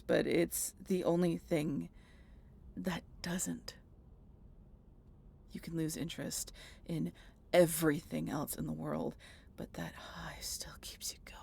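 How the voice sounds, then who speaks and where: A woman playing a guarded, sardonic century-old vampire in an intimate audio roleplay, halting and casually profane as she talks to the listener. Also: sits low, vocal fry, darkish tone, breathy edge, full character voice. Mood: confessional, remorseful.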